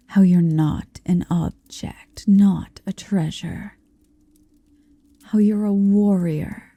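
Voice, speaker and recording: A woman's voice: lowish voice, mellow, breathy edge, no room sound, exaggerated voice. A woman playing a huge, possessive, motherly female dragon in an ASMR roleplay, tenderly domineering and teasing, often whispering close to the mic. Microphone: close-up microphone, dry.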